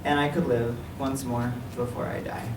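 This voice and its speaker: A man reads his writing aloud in a measured, even voice at a middle pitch. He sounds distant from the mic, the room echoes around him, and a clear hiss of noise sits underneath. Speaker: writer reading his work. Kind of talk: literary reading. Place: library meeting room.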